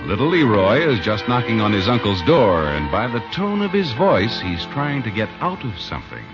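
A man's voice: fairly low pitch, sing-song, normal speed, music in the background. A man announcing in the scripted style of a 1950s radio sponsor spot. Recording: dull, noisy old radio recording.

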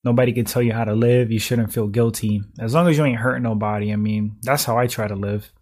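A man in his thirties talks loosely through a livestream, tossing out slang and clearing his throat often. His voice is slightly hoarse and sits at an ordinary, middling pitch. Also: fast. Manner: relaxed slangy talk, frequent throat clearing. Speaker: livestream host reading chat. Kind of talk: casual chat.

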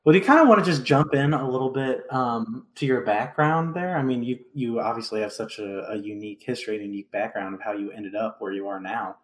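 A man's voice: medium pitch, fast, hardly any pauses. A man hosting a podcast in an informal, chatty, conversational way. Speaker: podcast host.

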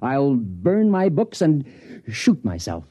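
An actor playing a confident, pedantic academic professor with a husky voice in a classic radio drama. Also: male voice, mid-range voice, huge pitch swings, slow, over loud music. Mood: casual, hedging. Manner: pedantic, self-assured. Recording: thin, phone-like vintage radio recording.

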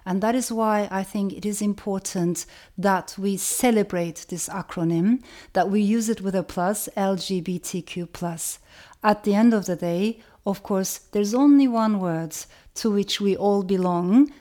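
A woman from Turkey giving a reflective educational talk, speaking in a measured way and repeating phrases for emphasis. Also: medium pitch, dark tone.

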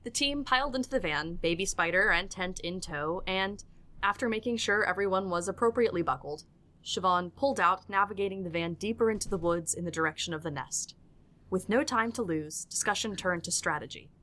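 A woman's voice: mid-range voice, a little fast, sharp tone. A woman reading scripted third-person narration for an audio drama as its storyteller.

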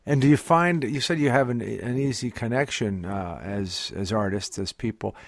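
A male interviewer asking questions in a casual chat, halting and fumbling as he phrases them. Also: fairly low pitch, creaky, dry recording, clean studio sound.

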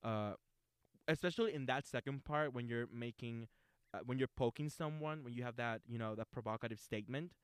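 Smooth-voiced man hosting an acting chat. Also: medium pitch, a little fast, dry recording, clean studio sound. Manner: relaxed, rambling with restarts. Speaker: host. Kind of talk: conversational acting talk.

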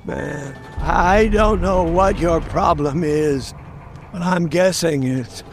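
A man's voice: a little high, slow pace, vocal fry, a little hiss or hum. An actor playing an uneasy, flustered bystander in a radio drama, nervous in his delivery.

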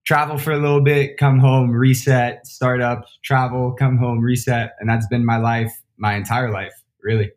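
A relaxed man chatting casually in English, his second language, with an American accent. His first language is French. He laughs easily and swears in passing. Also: medium pitch, moderate pace, hardly any pauses. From France. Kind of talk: casual chat.

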